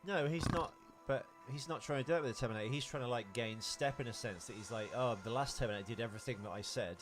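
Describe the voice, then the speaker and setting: A man's voice: mid-range voice, fast, music in the background. A man co-hosting a podcast, chatting casually about films and explaining his take. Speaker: podcast co-host. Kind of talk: casual film discussion.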